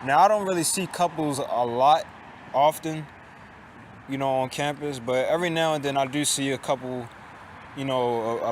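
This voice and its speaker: Man, late 20s, vlogging outdoors on a campus; halting, repetitive, profane, resigned, mid-pitched voice. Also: noisy background. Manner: halting and repetitive, with frequent restarts and swearing; resigned, downcast tone. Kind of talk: casual confessional monologue.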